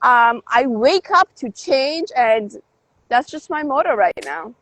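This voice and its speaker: A woman's voice: on the high side, animated, slow, a bit bright, no echo, rough recording. A woman from Canada, a DJ and life coach, talking upbeat and motivational in a livestream interview, with emphatic swings. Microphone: phone livestream.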